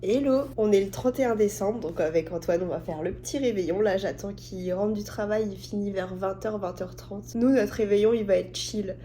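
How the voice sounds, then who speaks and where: A woman's voice: mid-range voice, moderate pace, few pauses, music in the background. A young woman from France with a French accent from France and a cold, chatting casually to the camera as a vlogger. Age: young adult. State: has a cold. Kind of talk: casual vlog chat to camera.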